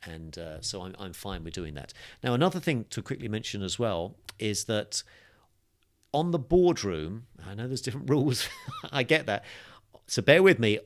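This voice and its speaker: Upbeat low-voiced male crypto tutor. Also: expressive, moderate pace. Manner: chatty and upbeat, thinking aloud with fillers. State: slightly losing his voice, coughing. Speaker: crypto course instructor. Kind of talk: screen-share tutorial walkthrough.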